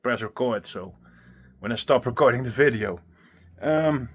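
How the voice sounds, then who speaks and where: A man's voice: mid-range voice, measured pace, slightly rough. A young man with a Dutch accent vlogging casually from his small home studio, chatty and self-deprecating, a little out of breath. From Netherlands. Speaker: music producer vlogger. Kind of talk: casual vlog talking to camera. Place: small home studio with acoustic foam, indoors. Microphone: handheld phone at arm's length.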